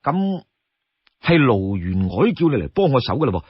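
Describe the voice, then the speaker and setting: A man's voice: medium pitch, sing-song, moderate pace, breathy edge, no room sound. A man voicing a shrewd, exasperated younger outlaw in a storybook tale, firmly admonishing his companion. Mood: puzzled. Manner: firm, admonishing, laying down rules. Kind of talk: Cantonese storytelling character voice. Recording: dull, close dry studio sound.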